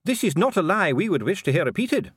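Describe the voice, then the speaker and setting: A man's voice: high-pitched, sing-song, brisk, a bit bright, slightly rough, no room sound, clean studio sound, pitched above the performer's own voice, heavily acted. A man voicing an officious, evasive dwarf official in formal, clipped, protesting speech for an audiobook. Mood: stiff, affronted.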